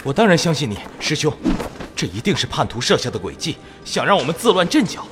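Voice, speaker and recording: A man's voice: on the high side, very animated, fast talker, music in the background. A man playing an earnest, trusting young disciple in a fantasy audio drama, speaking emphatically. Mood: trusting, relieved. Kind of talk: Chinese audio drama acting.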